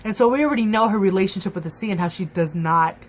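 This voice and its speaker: A young woman chatting excitedly in a casual book review vlog, full of fillers and restarts. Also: mid-range voice, quick pace. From Mexican and Cuban heritage.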